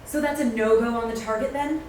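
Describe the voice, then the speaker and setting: Woman acting shifty office lady, high-pitched voice, secretive, table read, very echoey distant recording. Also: quick pace, close to an everyday voice. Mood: businesslike. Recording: distant, very echoey room, faint noise.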